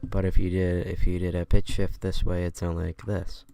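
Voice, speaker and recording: A man giving a casual, unscripted software tutorial in a hesitant, halting way. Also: deep voice, completely flat delivery, creaky, heavy background noise. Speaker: tutorial host.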